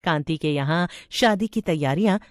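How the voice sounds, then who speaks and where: A woman's voice: low-pitched, normal speed, dry recording, clean studio sound. A woman storyteller reading a scripted tale as narrator.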